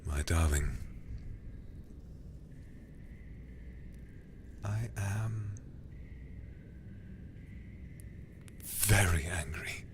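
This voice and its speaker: A man playing a controlling lover, deep whispery voice, slow and coaxing, falsely tender, audio drama, noisy hiss behind. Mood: hushing, stern. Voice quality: soft whispering, hushing. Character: possessive, controlling male lover, soothing yet menacing.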